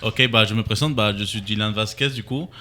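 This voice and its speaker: A man's voice: fairly low pitch, fairly quick, sharp tone. A man with a lilting Costa Rican Spanish accent, speaking casually and hesitantly as a radio guest. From Costa Rica. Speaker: interview guest, event organizer. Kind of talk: casual chat.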